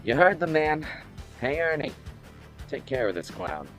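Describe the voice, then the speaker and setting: A smug, cruel crime boss, played by a man in an audio drama. His voice swings widely in pitch as he mocks and needles, with music playing clearly behind him. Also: sing-song, a little slow, a bit bright. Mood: commanding. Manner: mocking, drawling rhetorical questions. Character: taunting male gang henchman boss, smug and cruel.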